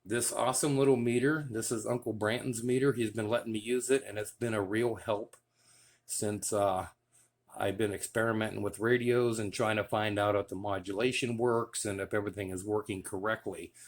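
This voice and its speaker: A man casually showing off his radio gear in a relaxed, enthusiastic way, with many fillers. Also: lowish voice, normal speed, slight background noise. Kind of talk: casual show-and-tell vlog.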